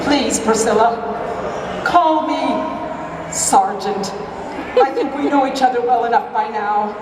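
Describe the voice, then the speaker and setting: An earnest, stiffly romantic Mountie sergeant, acted by a woman, declaims slowly and pompously in old-time melodrama style. The voice rings with heavy echo, far from the mic in a noisy hall. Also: on the high side. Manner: declamatory, pompous, halting alliterative delivery. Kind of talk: hammy old-time melodrama stage performance. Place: live fair stage, recorded from the audience. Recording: very echoey, distant, noisy stage recording.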